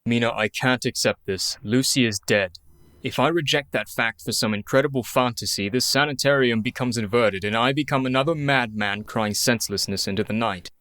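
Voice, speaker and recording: A man's voice: mid-range voice, fairly quick, bright, forceful tone, no echo, close to an everyday voice. Casting: man as bereaved asylum doctor, stubborn and formal, mid-range voice, dry close recording, radio drama. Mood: defiant, skeptical. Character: grieving, skeptical male doctor running a sanitarium. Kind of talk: radio play acting.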